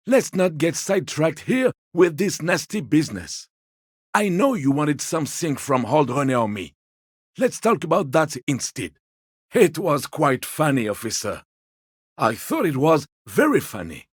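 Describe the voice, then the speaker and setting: A man voicing a genteel, fussy old man in a video game, a husky voice and a polite, wheedling, quietly petty manner. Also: on the high side, no echo, studio quality, full character voice. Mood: peeved, sardonic. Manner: polite, wheedling, theatrically indignant. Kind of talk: video game character dialogue.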